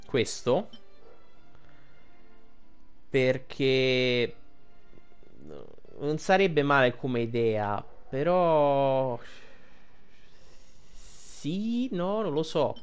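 A man's voice: medium pitch, lively intonation, extremely slow, long pauses, clear, resonant tone, soft background music. An Italian man giving casual gameplay commentary, rambling and thinking aloud as he plays. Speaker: gaming streamer.

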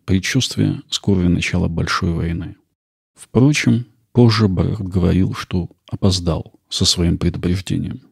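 A man hosting a podcast with measured, explanatory scripted documentary narration. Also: deep voice, steady tone, creaky, husky, no echo, studio quality. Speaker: podcast host.

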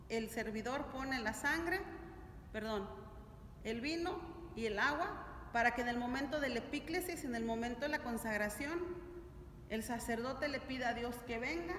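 A woman's voice: a little high, a bit bright. A middle-aged woman teaching a religious lesson to families in a didactic, questioning way, recorded in a church. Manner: didactic, asks check-in questions. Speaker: catechism teacher. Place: large stone-walled church, indoors. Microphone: camera a few steps away. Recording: echoey church hall, distant mic, noisy.

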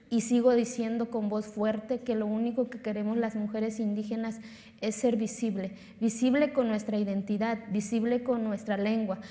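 A woman's voice: on the high side, dark tone. A woman in her thirties from Oaxaca, Mexico, with a Mexican accent and Zapotec as her first language, giving an earnest, emphatic speech on a stage before an audience. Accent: Mexican Spanish. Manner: earnest, emphatic, repeats phrases for force. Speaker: speaker at a talk. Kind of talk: public speech. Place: large hall on a lit stage before an audience. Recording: reverberant hall.

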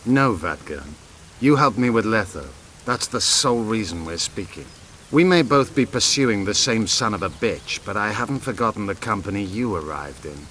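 A proud elven guerrilla leader from a fantasy game. His lowish voice is sharp and forceful, with grave, bitter declamation. There is faint noise behind him. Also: expressive, normal speed. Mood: stern. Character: proud, bitter male elven rebel commander. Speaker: video game character actor. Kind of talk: game dialogue acting.

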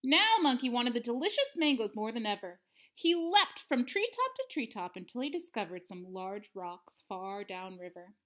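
A woman's voice: fairly high pitch, big pitch swings, normal speed, bright, forceful tone. A woman in her thirties reading a picture book aloud expressively for children, at home. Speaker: storybook reader. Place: living room at home.

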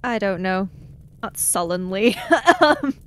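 A woman's voice: medium pitch, big pitch swings, slow pace, a bit bright. A woman playing a tabletop roleplaying game, chatting casually and describing what her character does.

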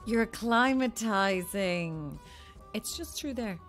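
A woman's voice: medium pitch, animated, slow pace, over music. An actress playing a macabre, blithely unhinged woman in a horror-comedy audio drama, arch and morbidly flippant. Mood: droll, morbid.

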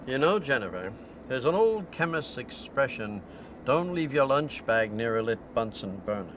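An actor playing a wry, avuncular older scientist in a film, with faint cabin hum behind. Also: male voice, medium pitch, big pitch swings, moderate pace, a bit bright. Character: wry, avuncular lab scientist giving advice.

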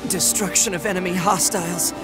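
An actor playing an earnest young male android soldier in a video game, speaking in a boyish, halting way. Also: high-pitched, steady tone, lightly acted. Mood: grim, resolute. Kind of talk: dramatic video game acting. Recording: loud music behind the voice.

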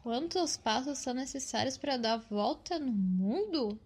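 A woman's voice: high voice, expressive, normal speed, a little mellow, slightly rough, heavily acted. A woman voicing a teasing, bossy young girl in a Brazilian children's cartoon, exclaiming and scolding. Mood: playful. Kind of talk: children's cartoon comedy dialogue.

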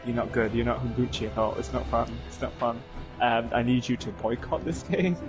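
A young man with a Yorkshire accent, streaming a game with a friend and ranting theatrically. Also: fairly quick. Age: young adult. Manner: theatrical, self-pitying, swings into high whiny voices. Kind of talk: casual gaming chat. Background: game music.

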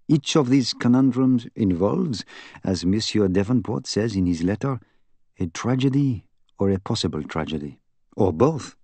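A man's voice: mid-range voice, warm tone, no room sound. A man voicing a fussy, earnest middle-aged Belgian detective in an audiobook, with a French-speaking Belgian accent and precise, emphatic, formal phrasing. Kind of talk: audiobook character acting.

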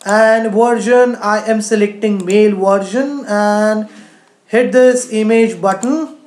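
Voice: medium pitch, fairly even intonation, slow, a little mellow, roomy sound. A teacher narrating a screen-share tutorial in an explanatory way, often saying "you know". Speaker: coding teacher.

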